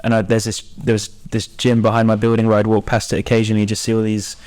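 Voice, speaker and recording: A man's voice: fairly low pitch, completely flat delivery, fast talker, darkish tone. A man in his twenties chatting casually as a podcast co-host. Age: 20s. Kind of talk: casual chat.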